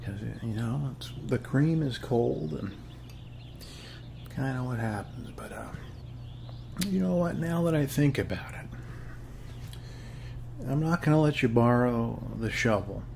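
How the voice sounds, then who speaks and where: Friendly suburban neighbor guy a man acting, American, soft and gravelly, meandering folksy ASMR chat, slight background noise. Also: medium pitch, unhurried, pauses often. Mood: friendly rambling. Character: friendly, wholesome, rambling suburban male neighbor. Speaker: ASMR role-play performer. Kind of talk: ASMR role-play, rambling casual chat. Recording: faint steady background noise.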